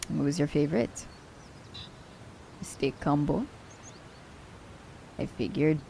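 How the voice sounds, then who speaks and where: Woman as playful female assassin, ASMR roleplay: mellow, fry-laden voice, unhurried, fondly teasing. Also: low voice, a little hiss or hum, heavily acted. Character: teasing, worldly female assassin fond of her werewolf captive.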